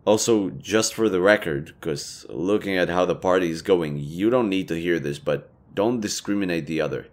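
A young man playing a playful, self-assured elven leader in a romantic audio roleplay, casual, teasing and expressive. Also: low-pitched. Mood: proud, declaring. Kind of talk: intimate romantic roleplay acting, conversational.

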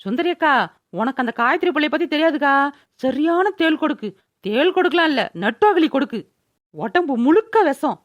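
A woman voicing a sassy, sharp-tongued young friend in a cartoon. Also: high-pitched, animated, a little fast, dull audio. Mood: gossipy indignation. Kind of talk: cartoon voice-over dialogue.

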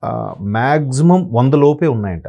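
A man speaking conversational commentary as an analyst guest, hesitant and often pausing to search for words. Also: medium pitch, lively intonation, vocal fry, mellow, lo-fi sound. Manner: hesitant, many fillers and word-searching pauses.

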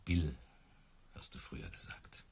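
An actor playing an earnest, easily hurt big-cat tamer in love, in a radio play. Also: male voice, a little slow, in a whisper, natural voice. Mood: devoted, hurt.

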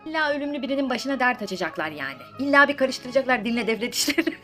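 A woman's voice: high-pitched, big pitch swings, racing, sharp tone. Gossipy, theatrical woman storyteller over music. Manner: gossipy, chatty, theatrical, exclaiming asides and rhetorical questions. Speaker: storyteller host of a comedic mythology series. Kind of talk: comedic casual storytelling, retelling myths in slang.

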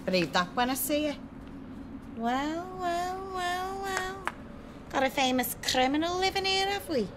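A woman's voice: extremely high pitch, animated, a little slow. A woman playing a suspicious, combative British neighbour in a radio drama. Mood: suspicious, threatening. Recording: radio drama with noisy background.

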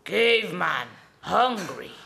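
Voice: medium pitch, sing-song, slow, creaky, heavy background noise, heavily acted. A teenager acting a hungry, simple-minded caveman who grunts in broken English in a stage play. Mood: blunt, hungry. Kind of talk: school readers theater performance. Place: school stage in an auditorium.

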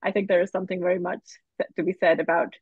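A woman's voice: on the low side, little pitch movement, fast, breathy edge, no room sound. Reflective woman on a dull call line. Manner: reflective, restarting phrases. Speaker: novelist panelist. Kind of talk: casual panel discussion. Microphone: video call. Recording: dull video call audio.

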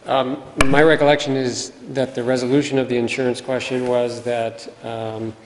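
A man's voice: mid-range voice, little pitch movement, moderate pace. Hesitant middle-aged man in echoing hall. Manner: hesitant, careful. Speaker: school administrator. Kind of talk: answering questions at public meeting. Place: indoor meeting room, at podium. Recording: reverberant meeting hall, faint noise.

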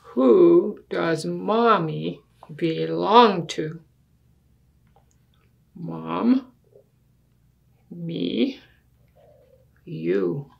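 An older woman reading a picture book aloud to children in a deliberate manner, putting questions to her listeners. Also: lowish voice, vocal fry, lo-fi sound. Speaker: story time reader. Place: small indoor room with books and wall quilt.

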